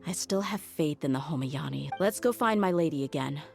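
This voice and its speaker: A low-voiced, steadfast mercenary. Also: female voice, animated, creaky, darkish tone. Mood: calm, resolute. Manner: measured, frank, resolute. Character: steadfast tough female mercenary, frank and loyal. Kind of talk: video game cutscene dialogue. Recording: game music behind the voice.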